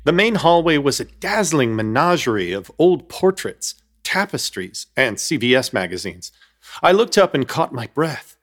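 A man's voice: mid-range voice, big pitch swings, normal speed, a bit bright. A man narrating a comic horror radio play in an ornate, mock-grave, wry storytelling manner.

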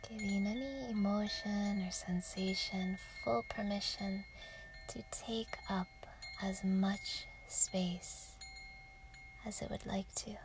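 Slow, whispery woman guiding meditation. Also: medium pitch, steady tone, noisy background. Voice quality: soft, breathy, mostly whispered. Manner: gentle, soothing, unhurried with long pauses. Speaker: meditation guide. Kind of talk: guided yoga nidra meditation.

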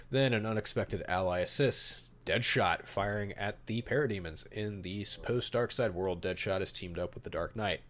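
Low-voiced, opinionated male podcast host. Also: fairly bright tone. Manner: opinionated, emphatic, mildly profane. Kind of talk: podcast talk mixing read-out storyboard summary and casual commentary.

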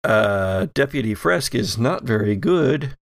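A man's voice: mid-range voice, animated, measured pace, dry recording. A man narrating a tabletop role-playing game as game master, improvising as he goes.

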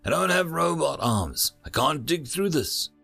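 A hulking alien soldier, earnest and a little flustered, speaking in stiff formal phrases, as a man puts him on in a slightly raised voice with lively pitch swings. A sci-fi storybook creature. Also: a little slow, creaky, breathy edge, soft background music, heavily acted. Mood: earnest unsure. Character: large non-human alien male fighter, earnest, flustered.

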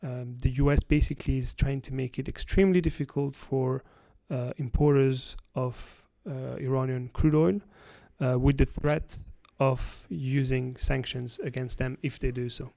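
Gravelly-voiced man explaining. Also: medium pitch, fairly even intonation, dark tone, no room sound. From France. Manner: explanatory, halting with frequent restarts. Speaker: guest energy analyst. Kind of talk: interview answers.

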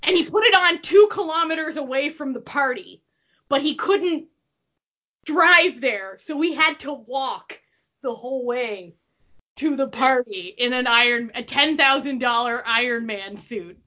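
Excitable woman co-host, casual chat, lively and laughing, bright tone, muffled recording. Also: unhurried. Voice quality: says she has a pretty low voice. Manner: animated, squeals with excitement, laughs often. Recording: dull lo-fi recording.

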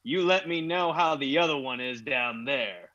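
A man's voice: mid-range voice, normal speed, sharp tone, muffled recording, natural voice. A man playing a cocky, menacing 1930s movie gangster boss who makes wisecracking threats. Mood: menacing, smug.

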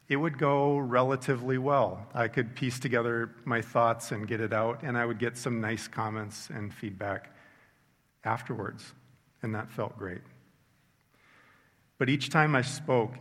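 A middle-aged man giving a sincere personal faith testimony, measured and reflective, slowing down for emphasis. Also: mid-range voice, fairly even intonation, a bit bright. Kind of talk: earnest reflective testimony talk. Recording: long hall reverb.

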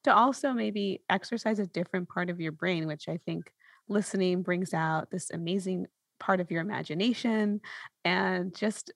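Female interviewer, slightly low and gravelly, dry close sound. Conversational, affirming, thinks aloud in response to a guest. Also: normal speed, dry recording. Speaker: podcast host.

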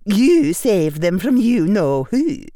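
A man voicing a strict witch professor, a woman with a precise, measured Scottish accent, in an audiobook story. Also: high voice, very animated, mellow, no room sound, clean studio sound, voice pushed up, exaggerated voice. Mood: reproving.

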